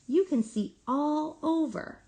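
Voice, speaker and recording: A woman's voice: sits high, slow, dark tone, voice pushed up. A woman voicing a friendly, curious young country girl with a folksy manner in a children's story. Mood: friendly, inviting.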